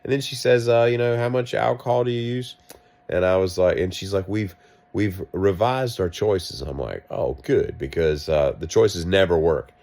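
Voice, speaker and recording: Deep, gravelly man with a cough. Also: fairly even intonation, normal speed, a little mellow. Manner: wry, self-mocking, meandering asides. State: coughing, sick. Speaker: vlogger. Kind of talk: rambling storytelling monologue.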